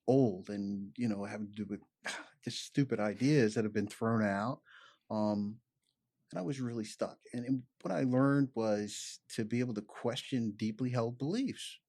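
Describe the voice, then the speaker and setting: A man, a chiropractor chatting casually on a podcast, animated and peppering his talk with "you know". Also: mid-range voice. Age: 50s. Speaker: chiropractor guest.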